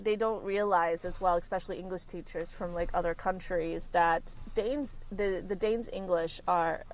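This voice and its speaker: A woman career consultant answers interview questions in a halting, conversational way. Her voice sits a little low, and she restarts her sentences often as she searches for words. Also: fairly even intonation. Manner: halting, frequent self-corrections. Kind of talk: casual podcast interview.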